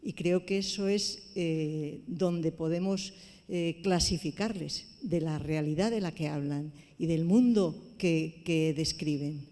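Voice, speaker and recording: Mujer, ritmo muy lento, tono mesurado y deliberado; club de lectura en sala reverberante. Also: female voice, deep voice, dark tone. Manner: measured, deliberate, hesitant fillers. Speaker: book club member. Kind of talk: book club discussion.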